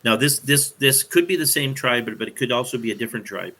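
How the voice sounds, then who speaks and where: Male podcast teacher, mid-range pitch, sharp bright tone, informal explanatory delivery with halting restarts. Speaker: podcast host explaining scripture. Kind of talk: casual explanatory chat.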